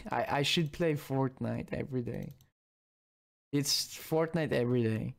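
Flustered male game streamer, unhurried and halting, casual live chat. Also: medium pitch, creaky. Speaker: streamer and indie game developer. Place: indoor room at home.

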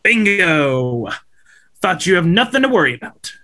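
Man playing a slick, animated, high-voiced corporate speaker. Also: unhurried, heavily acted. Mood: chipper. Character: slick, upbeat male corporate representative lecturing schoolboys. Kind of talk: table read of a film script. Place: indoors at home on a video call.

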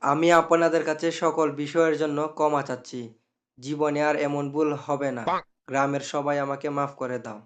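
A man's voice: a little high, level tone, moderate pace, natural voice. A man playing an officious, talkative assistant to a village councilman in a cartoon. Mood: apologetic. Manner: argumentative, talkative. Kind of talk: cartoon dialogue.

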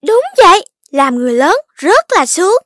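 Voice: extremely high pitch, very animated, normal speed, a bit bright, no room sound, studio quality, full character voice. An actor voicing a polite, dreamy, playful little child in a children's cartoon. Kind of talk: children's animated cartoon voice acting. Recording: music under the voice.

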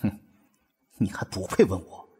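An actor playing a proud, stubborn young army battalion commander in an audio drama, brusque and scoffing but fond underneath. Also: male voice, unhurried, darkish tone, no room sound, clean studio sound, lightly acted. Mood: indignant, defiant. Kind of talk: Chinese audio drama acting. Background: background music.

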